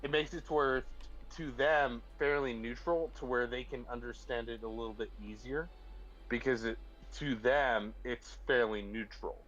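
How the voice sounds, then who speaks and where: A man's voice: medium pitch, steady tone, moderate pace, fairly bright tone. Rambling American man on a dull line. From southern United States. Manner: rambling, many fillers and restarts. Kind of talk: casual chat.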